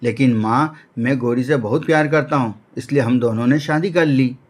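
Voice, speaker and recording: A man's voice: mid-range voice, moderate pace, natural voice. A man voicing a caring, earnest young crow husband in a cartoon story, with a reassuring manner. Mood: earnest, pleading. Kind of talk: Hindi cartoon story acting.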